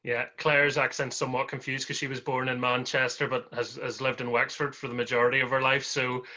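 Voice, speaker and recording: Male podcast host, friendly and chuckling, bright edge on a muffled lo-fi track. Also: mid-range voice, flat delivery, fairly quick. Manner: friendly, chuckling, rambling questions. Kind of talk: casual interview chat. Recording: dull lo-fi audio.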